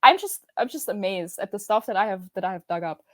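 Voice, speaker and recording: A woman's voice: mid-range voice, expressive, quick pace, dry recording, clean studio sound. A young woman from Austria, German as her first language, speaking English with an Austrian German accent, chatting as a podcast guest over a video call, bubbly and laughing often. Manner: bubbly, laughs often, many restarts and fillers.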